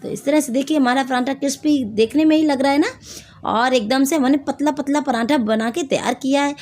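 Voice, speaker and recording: A woman hosting a home cooking video, hoarse from a sore throat, chatting to viewers as she explains each step. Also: high voice, fairly quick, a little mellow. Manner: chatty, addresses viewers directly. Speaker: cooking channel host.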